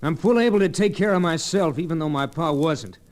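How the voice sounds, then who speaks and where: Male actor as defiant young Old West rancher's son, American accent, high voice, angry, vintage radio drama. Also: a little fast, natural voice. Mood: bitter, defiant. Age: young adult. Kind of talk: old-time radio western acting.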